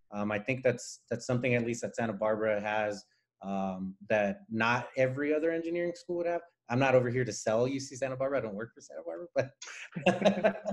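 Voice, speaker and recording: A man from Nicaragua whose first language is Spanish, giving earnest, explanatory answers as a guest engineer in a conversational interview. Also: mid-range voice.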